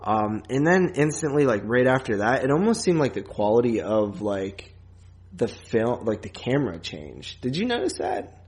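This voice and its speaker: A man co-hosting a podcast, talking casually about films in a rambling, halting way. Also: mid-range voice, big pitch swings, darkish tone. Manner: rambling, halting, filler-heavy. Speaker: podcast co-host. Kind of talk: casual movie discussion.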